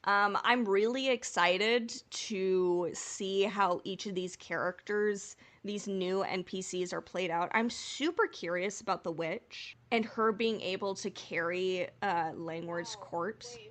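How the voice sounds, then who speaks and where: Woman, average pitch, podcast host, casual fan chat, earnest, enthusiastic. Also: slow pace, hardly any pauses, a bit bright.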